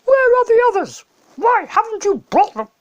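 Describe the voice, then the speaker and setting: Man acting a shrill, furious witch queen. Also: very animated, normal speed, dull audio. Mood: angry. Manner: commanding, demanding.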